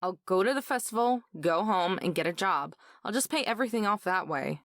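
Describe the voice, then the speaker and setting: A woman's voice: mid-range voice, expressive, brisk, a bit bright, no echo, clean studio sound. A woman voicing a guarded, guilt-ridden young woman in a visual novel, firm and curt with emotional strain. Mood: resigned, firm.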